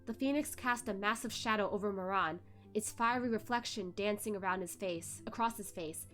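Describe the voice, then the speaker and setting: Female narrator, mid-pitched, dramatic fantasy web-novel read-aloud with soft music underneath. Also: normal speed.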